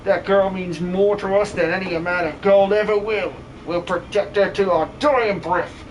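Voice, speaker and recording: A man's voice: high-pitched, fairly even intonation, moderate pace, a little hiss or hum, close to an everyday voice, rough recording. A man voicing a loyal, protective working-class miner in a comic game dub. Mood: earnest, warning.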